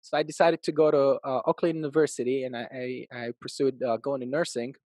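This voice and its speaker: A man in his thirties from Iraq, a podcast guest telling his life story in an upbeat, animated way. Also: medium pitch, brisk, no echo. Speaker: podcast guest, real estate agent. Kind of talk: casual interview storytelling.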